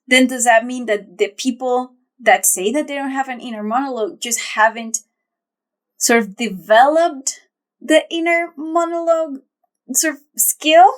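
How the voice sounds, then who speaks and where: A young woman with a fairly high voice co-hosts a casual podcast chat. She thinks out loud as she goes, rambling a little and circling back with fillers and restarts. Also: fairly even intonation, measured pace. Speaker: podcast co-host.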